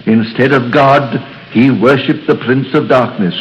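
An actor playing a solemn church elder who tells an old legend slowly and gravely, in a 1930s radio drama. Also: male voice, medium pitch, fairly even intonation, lightly acted. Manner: slow, solemn, measured storytelling. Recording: dull, noisy old radio recording.

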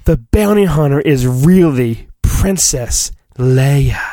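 Animated, slow-paced American man, wisecracking storybook reader. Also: mid-range voice. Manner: informal, wisecracking, self-interrupting. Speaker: podcast host. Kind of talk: dramatic storybook reading with joking asides. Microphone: phone. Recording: homemade phone recording, roomy echo, faint music.

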